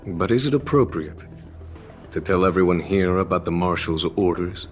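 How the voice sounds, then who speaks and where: Diplomatic general, deep, formal and dryly witty, game cutscene with soft music. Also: male voice, animated, normal speed. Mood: polite, formal. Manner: polite, formal, wry. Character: composed, diplomatic male general with dry wit. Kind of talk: video game voice acting. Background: background music.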